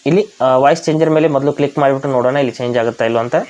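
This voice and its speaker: Indian male tutorial host, quick pace, medium pitch, muffled lo-fi recording, step-by-step explaining. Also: a little mellow. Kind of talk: step-by-step app tutorial narration. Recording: dull, lo-fi voice recording.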